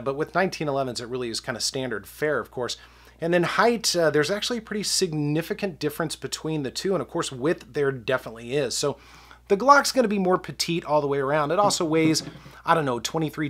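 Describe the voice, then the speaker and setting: A man's voice: a little high, a bit bright. Stuffed-up man, friendly gun reviewer. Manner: friendly, chatty, addresses viewers as guys. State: stuffed up from a cold. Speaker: gun review channel host. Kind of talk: casual product review talk to camera.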